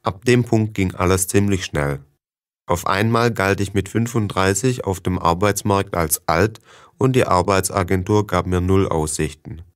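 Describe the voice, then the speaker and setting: Deep-voiced man reading calmly. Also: unhurried. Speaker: reader of listening texts. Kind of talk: scripted reading for learners.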